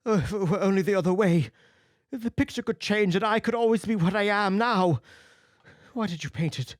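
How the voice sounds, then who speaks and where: A man's voice: high voice, brisk, dry recording, studio quality, pitched above the performer's own voice, close to an everyday voice. A man voicing an anguished vain young man. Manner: emotional, pleading, rising to outbursts. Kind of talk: audiobook character reading.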